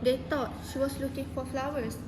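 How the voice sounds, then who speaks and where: A woman's voice: high-pitched, steady tone, brisk, noisy background, natural voice. A woman acting in an amateur stage play as a troubled villager bearing tragic news. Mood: anxious. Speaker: amateur student actor. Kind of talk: stage play dialogue, recited.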